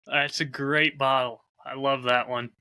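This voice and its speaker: A man co-hosting a casual podcast. His voice sits at a middling pitch with a very bright, forward edge. He talks in a measured, low-key way, often softening what he says. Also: little pitch movement, smooth. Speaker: podcast co-host and audio drama creator.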